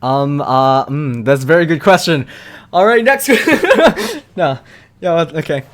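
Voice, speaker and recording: A young man answering viewers' questions in a relaxed, rambling live-stream chat, full of fillers and quick laughs. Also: fairly high pitch.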